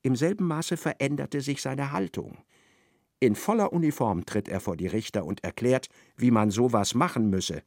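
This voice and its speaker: A man narrating a literary radio play in a measured, scripted manner. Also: medium pitch, lively intonation, vocal fry, darkish tone, no room sound, clean studio sound. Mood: dry, measured. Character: measured male narrator of a satirical novel.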